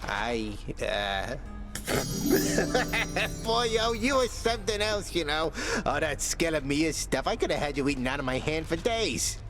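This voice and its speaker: Cocky wisecracking skeleton over loud music. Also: male voice, a little high, big pitch swings, unhurried. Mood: teasing, amused. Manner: brash, streetwise, teasing. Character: cocky wisecracking undead skeleton, male, joking prankster. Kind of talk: video game voice acting.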